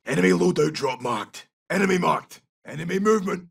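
An actor playing a gruff, brash Scottish special forces soldier in a military shooter game, giving clipped, urgent tactical callouts in a rough, gravelly voice. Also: male voice, high-pitched, animated, brisk, distant mic, exaggerated voice. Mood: alert, clipped.

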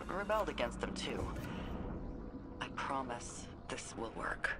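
A woman's voice: a bass voice, animated, measured pace. An actress playing a plainspoken woman soldier in a game cutscene, casual and a little hesitant. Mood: earnest. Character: candid woman soldier, steady and reassuring. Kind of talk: video game cutscene acting. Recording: game audio with noisy ambience.

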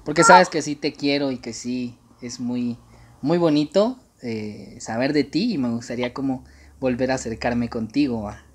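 A man, speaking haltingly and coyly on a casual prank phone call. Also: medium pitch, a little hiss or hum. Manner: hesitant, halting, coy. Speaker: prank caller and channel host. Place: small room indoors.

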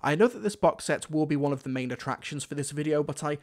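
A man's voice: a little high, fast, darkish tone. A young British man giving conversational review commentary into a close desk microphone at home. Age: young adult. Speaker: video reviewer. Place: small furnished room at home.

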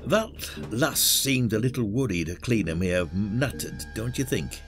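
Casting: male actor as shrewd retired village sleuth, lowish voice, swooping intonation, colloquial, condescending. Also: animated, moderate pace, soft background music. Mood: probing, suspicious. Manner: colloquial, teasing, folksy. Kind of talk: audio drama acting.

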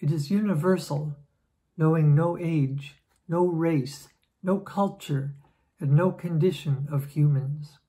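A woman in her sixties reading aloud from a religious book in a measured, solemn way, in a room at home. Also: deep voice, warm tone. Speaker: lay reader.

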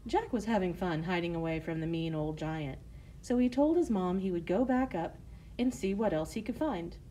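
A woman storyteller reading a scripted children's tale in measured phrases. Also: on the low side, animated, dark tone, slight background noise, low-quality recording.

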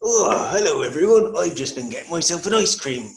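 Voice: medium pitch, big pitch swings, a little fast. An actor playing a silly, good-natured, whiny pirate in a children's puppet show, with a babyish sing-song delivery. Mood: friendly, flustered. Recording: roomy homemade recording.

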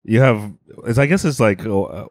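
A man co-hosting a comedy podcast in casual chat, crude deadpan joking that jumps high when he mocks others. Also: moderate pace, dry recording, clean studio sound. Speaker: podcast co-host.

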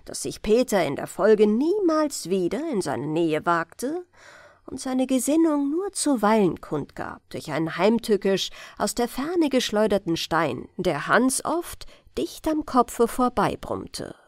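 A woman reading a fairy tale aloud as a bedtime audiobook, calm and gentle in her storytelling. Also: medium pitch, a little slow, no echo, clean studio sound. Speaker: audiobook reader.

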